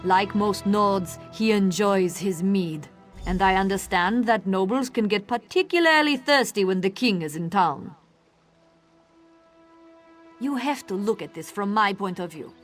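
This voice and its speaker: A woman's voice: mid-range voice, measured pace, close to an everyday voice. Woman acting a firm, wary fantasy guard officer. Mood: skeptical, grudging. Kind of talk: video game dialogue acting. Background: music.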